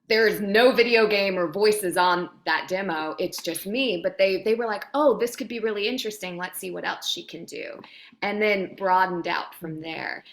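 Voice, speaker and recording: Chatty lady voice actor, creaky fry, normal pitch, casual straight-up advice. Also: fairly bright tone. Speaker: voice actor and demo coach.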